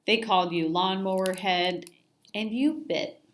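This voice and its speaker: Woman playing a kindly storybook grandma, slow and patient. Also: mid-range voice, echoey room, close to an everyday voice. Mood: gently teaching. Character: kindly, playful grandmother giving gentle advice.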